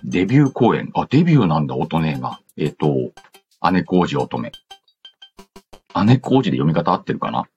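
Deep-voiced man hosting a chatty show. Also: big pitch swings, brisk, darkish tone, highs cut off. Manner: reads announcements aloud with playful asides. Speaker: show host reading listener letters. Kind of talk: casual chatty radio-style talk. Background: background music.

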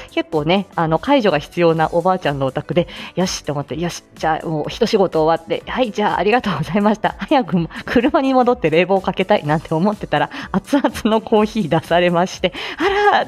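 A woman hosting a casual live morning audio show, chatting to listeners in a lively, expressive way with frequent laughter. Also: medium pitch. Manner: chatty, laughs often while talking. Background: background music.

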